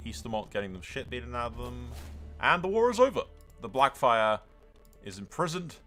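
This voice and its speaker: A man's voice: lowish voice, sharp tone. A man giving casual, improvised commentary on a strategy game playthrough, storytelling in role and swearing freely in a jokey, colloquial way. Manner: foul-mouthed, colloquial, jokey. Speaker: video game streamer narrating a playthrough.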